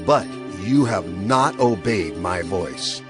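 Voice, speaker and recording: Stern prophet, sweeping delivery. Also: male voice, deep voice, lively intonation. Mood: stern rebuke. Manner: declamatory, grave, deliberate. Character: stern male Hebrew prophet proclaiming God's rebuke. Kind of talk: dramatized scripture reading. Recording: music clearly behind the voice.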